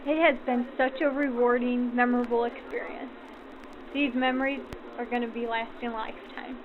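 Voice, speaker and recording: A woman's voice: on the high side, level tone, moderate pace. An effusive woman, a volunteer crew member, answering interview questions through a vintage radio filter. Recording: tinny radio-like sound, crowd behind.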